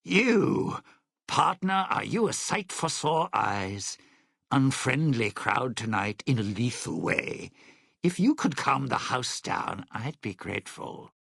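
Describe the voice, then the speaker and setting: An actor voicing a suave, bitter, century-old lounge singer in a video game, a sardonic and scheming showman with a raspy voice. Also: mid-range voice, very animated, takes it slowly, fairly bright tone, no echo, studio quality, exaggerated voice. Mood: wry, friendly. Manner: theatrical, sardonic showman talking in stage metaphors.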